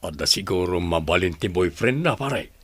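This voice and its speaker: A man's voice: low voice, animated, moderate pace, breathy edge, no echo. A man playing a gossipy village family friend in a radio drama. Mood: curious, gossipy. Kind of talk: Ilocano radio drama acting.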